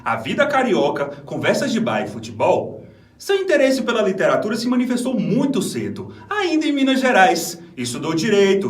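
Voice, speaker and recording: A man with a Brazilian accent, an actor delivering a scripted theatrical monologue presentation. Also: on the high side. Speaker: stage actor. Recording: echoey room, distant microphone.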